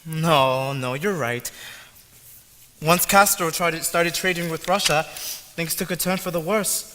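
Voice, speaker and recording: A man's voice: a little high, measured pace, close to an everyday voice. An actor playing a polite, earnest young immigrant man who is lost and on his way to the city. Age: young adult. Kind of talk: student stage play. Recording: reverberant hall, faint noise.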